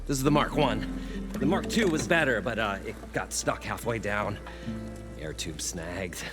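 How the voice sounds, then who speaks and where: An actor playing a proud, stubborn, excitable tinkerer in a video game, with a gravelly voice and a theatrical, slightly condescending manner. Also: male voice, fairly low pitch, measured pace, loud music behind the voice, natural voice. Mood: proud, sheepish.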